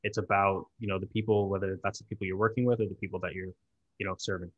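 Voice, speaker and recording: A man's voice: sits low, level tone, breathy edge, no room sound, phone-quality sound. A man hosting a restaurant industry podcast, asking long, loosely worded questions with lots of fillers. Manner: quick, with many fillers, asks long questions. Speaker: podcast host. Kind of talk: casual interview chat.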